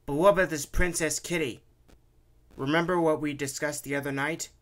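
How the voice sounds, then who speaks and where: A man voicing a mild, uncertain father who talks gently and doubtfully. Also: on the high side, steady tone, normal speed, pitched above the performer's own voice, close to an everyday voice.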